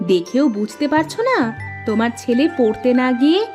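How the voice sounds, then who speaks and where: A woman's voice: sits high, very animated, normal speed, a little mellow, faint music behind. A woman voicing a fussing but doting village mother in a cartoon, exclaiming and questioning. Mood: scolding, astonished. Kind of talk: cartoon voice acting.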